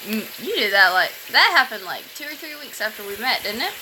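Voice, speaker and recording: A woman's voice: on the high side, sing-song, brisk, bright, forceful tone, slight background noise, poor audio. A woman in her twenties chatting casually, teasing her partner in a playful way. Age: 20s. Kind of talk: casual chat.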